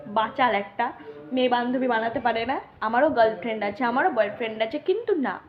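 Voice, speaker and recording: A young woman chats like a casual vlogger. She tells her story in a teasing way, often pausing to check she is being followed. The recording is dull and lo-fi. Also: fairly high pitch. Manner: animated teasing, repeated check-in questions.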